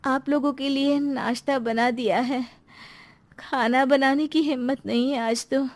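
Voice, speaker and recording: A woman's voice: high-pitched, fairly even intonation, measured pace, pitched above the performer's own voice. A woman voicing a meek, long-suffering middle-aged mother and housewife in a cartoon story, hesitant and apologetic. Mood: weary.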